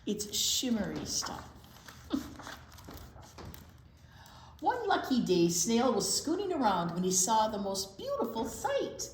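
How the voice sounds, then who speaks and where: Woman storytime reader for small kids. Unhurried, animated pitch, expressive, echoey room. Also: slight background noise. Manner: expressive, asking the children questions. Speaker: children's storytime teacher and reader. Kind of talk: picture-book read-aloud for young children. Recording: roomy, echoing indoor sound.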